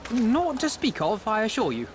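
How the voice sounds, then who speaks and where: Casting: measured, formal game strategist, very varied intonation, archaic wording, over a musical score. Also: male voice, high voice, wildly swooping pitch, music in the background. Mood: evasive. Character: courteous, measured male scholar-strategist. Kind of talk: dramatic video game voice acting.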